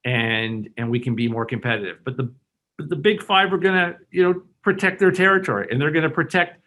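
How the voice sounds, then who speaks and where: A man's voice: fairly high pitch, fairly quick, bright, forceful tone. Earnest man arguing a point on a panel. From Hong Kong. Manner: earnest, persuasive, many restarts and fillers. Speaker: panelist, bank executive. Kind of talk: webinar panel discussion.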